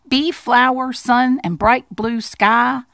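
A woman voicing a curious, wonder-struck little boy mouse in a storybook, squeaky and eager. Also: fairly even intonation, slow pace, creaky, a bit bright, no room sound, studio quality, exaggerated voice. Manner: eager, wide-eyed questioning. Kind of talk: storybook character voice.

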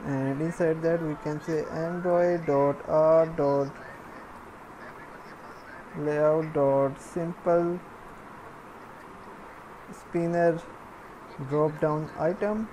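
A woman giving a step-by-step programming tutorial, dictating in a halting, piecemeal way as she works. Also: low voice, steady tone, warm tone, a little hiss or hum, poor audio. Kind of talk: step-by-step screencast tutorial, spoken while typing.

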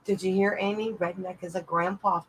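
A woman with a bright, sharp voice co-hosts a live auction. She is chatty and playful as she calls bids at a middling pitch. There is faint room noise behind her. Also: fairly even intonation, a little fast. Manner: chatty, playful banter with viewers. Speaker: auction co-host calling bids. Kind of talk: casual live auction chat.

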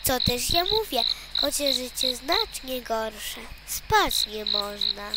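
A man voicing storybook cats, a grumpy hunter and an eager kitten, in a drawn-out theatrical way. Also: very high voice, very noisy, pitched above the performer's own voice. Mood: grumbling.

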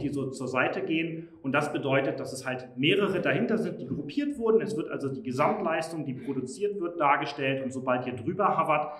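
A man's voice: a little high, fairly bright tone. A young man giving a keynote product presentation on stage, explaining features casually with frequent filler sounds. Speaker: company presenter. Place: conference room on stage. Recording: echoey room.

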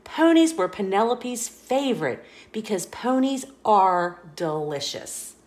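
A woman reading a children's picture book aloud in a playful, expressive storytelling voice, indoors. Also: mid-range voice, takes it slowly. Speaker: storybook reader. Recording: roomy echo.

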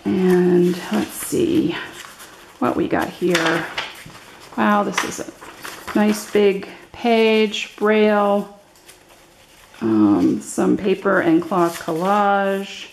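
An older woman giving a casual, unscripted show-and-tell as a craft vlogger, halting and thinking aloud as she goes. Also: mid-range voice, lively intonation, gravelly, noisy background. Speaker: craft vlogger showing her handmade journals. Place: indoors at a table, hands-only overhead camera.

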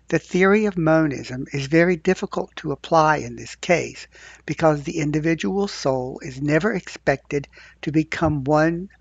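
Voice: medium pitch, gravelly, no echo, lo-fi sound. A host reading scripture aloud and chatting casually with the group over online voice chat. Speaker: scripture class reader and host. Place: voice chat in an online virtual world.

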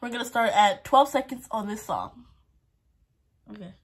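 A woman's voice: on the high side, normal speed, husky. A woman in her twenties with a stuffy, sore-throated voice, singing along to songs and chatting casually in her bedroom on an earbud mic. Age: 20s. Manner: chatty, sings loudly off-key while wearing headphones. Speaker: YouTuber. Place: bedroom, indoors. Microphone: earbuds with inline mic, phone camera at arm's length.

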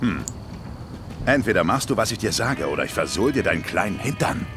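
A man's voice: on the low side, big pitch swings, brisk. A man voicing a bossy, no-nonsense army sergeant leading his squad in a German-dubbed video game cutscene. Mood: gruffly commanding. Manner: commanding, curt.

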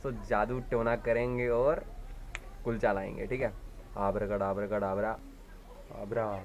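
A man's voice: lowish voice, flat delivery, a little slow, darkish tone, a little hiss or hum. A man, chatting casually and playfully to the camera as a vlogger, addressing viewers directly. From India. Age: 20s. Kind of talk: casual vlog chat to camera.

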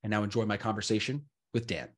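A man's voice: fairly low pitch, fast talker, loud music behind the voice. A man in his thirties hosting a casual podcast interview, upbeat and chatty, calling his guest bro, on a close studio mic at home. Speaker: podcast host. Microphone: close red studio mic, over-ear headphones.

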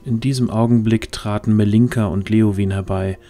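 A man's voice: fairly low pitch, fairly even intonation, moderate pace, mellow, soft background music. A man narrating a scripted fantasy story as the narrator of an audio drama.

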